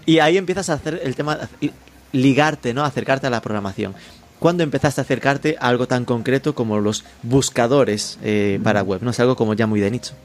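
A man's voice: mid-range voice, brisk. A man hosting an interview, asking chatty, jokey questions. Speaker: podcast host and interviewer.

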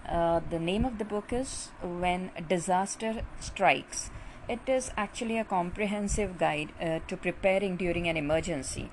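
A woman's voice: fairly low pitch, normal speed, a little hiss or hum, low-quality recording. Chatty Indian woman doctor podcasting. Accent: Indian English. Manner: chatty, with frequent "uh" fillers. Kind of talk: casual podcast monologue.

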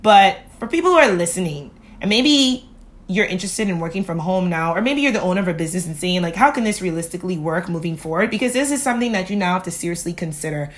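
A woman's voice: on the low side, expressive, fast talker, a bit bright. A woman co-hosting a casual chat, blunt and joking, with a sassy manner. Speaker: podcast co-host.

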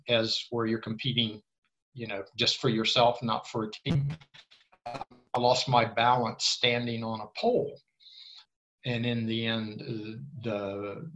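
A man's voice: lowish voice, bright, forceful tone. An older man giving an interview in a casual, rambling way, often restarting his words. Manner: rambling, repeats words at starts, frank. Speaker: interview guest. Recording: slightly dull lo-fi sound.

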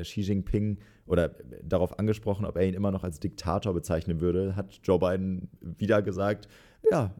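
Deep, mellow young man joking. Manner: joking, laughs often. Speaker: podcast co-host. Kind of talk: casual political chat.

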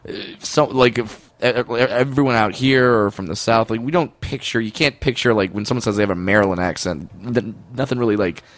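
A man's voice: fairly low pitch, brisk, few pauses. A man co-hosting a comedy podcast, laid-back, chiming in with short reactions. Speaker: podcast co-host. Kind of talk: casual chat.

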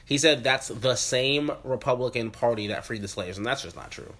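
A man's voice: medium pitch, moderate pace, a bit bright. A young man in his twenties hosting a podcast, congested and sniffling, in joking, profane banter. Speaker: podcast host. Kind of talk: casual podcast chat.

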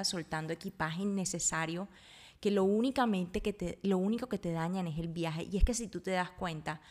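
A woman hosting a solo podcast, talking reflectively and informally, earnestly working out her thoughts aloud. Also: fairly low pitch. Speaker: podcast host.

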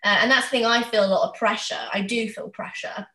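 A woman's voice: on the high side, fairly even intonation, brisk, pushed and bright. A woman, talking candidly and chattily in a casual conversation. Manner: chatty, candid, acts out others' questions. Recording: roomy, echoey sound.

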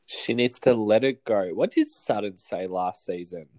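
A man's voice: lowish voice, measured pace, warm tone. An Australian man co-hosting a podcast, gossiping animatedly about reality TV and swearing freely. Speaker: podcast co-host. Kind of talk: casual chat recapping reality TV.

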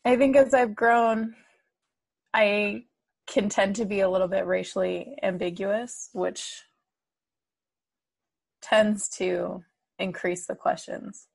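A young woman co-hosting a podcast, chatting casually and asking slow, measured questions. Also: medium pitch, fairly even intonation, vocal fry, breathy edge, no echo. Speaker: podcast co-host. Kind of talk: casual chat.

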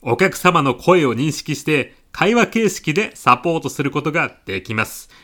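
A man's voice: fairly high pitch, very animated, normal speed, a bit bright. A man playing a polite, chatty AI concierge on a customer support line in a comedy audio drama. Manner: courteous, smooth-talking, over-familiar.

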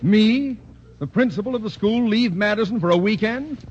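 An actor playing a pompous, bossy middle-aged school principal in a 1940s radio sitcom. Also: male voice, sits high, moderate pace, a little mellow, breathy edge. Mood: blustering. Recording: dull lo-fi vintage radio broadcast.

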